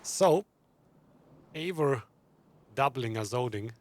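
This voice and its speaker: A man's voice: mid-range voice, lively intonation, slow pace, a little mellow. A man giving casual live commentary on a game, musing aloud and chatting to his viewers. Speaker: gaming streamer. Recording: game music behind the voice.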